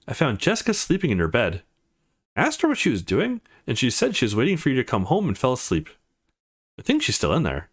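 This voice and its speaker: Man reading game dialogue with animated swings. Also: medium pitch. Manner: voices the characters' lines as he reads. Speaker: let's play host. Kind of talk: reading visual novel dialogue aloud.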